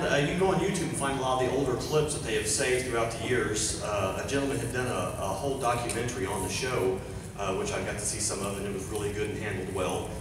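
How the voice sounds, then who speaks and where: A man of medium pitch presents an award in a loose, unscripted way, halting and rambling as he goes. The sound is distant and very echoey, with clear background noise. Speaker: award presenter at an induction ceremony. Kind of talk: informal unscripted stage speech. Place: large convention center hall, live event.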